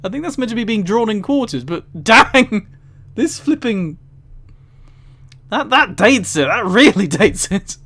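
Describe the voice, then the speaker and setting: A man's voice: sits high, animated, unhurried, gravelly, pushed and bright. A man casually reading aloud on a livestream and commenting, easily amused and giggly. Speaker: streamer.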